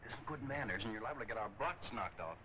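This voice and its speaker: A man's voice: on the low side, expressive, quick pace, natural voice. An actor playing a cocky, wisecracking newspaperman in a 1940s film serial, talking in wry slang. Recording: noisy 1940s film soundtrack.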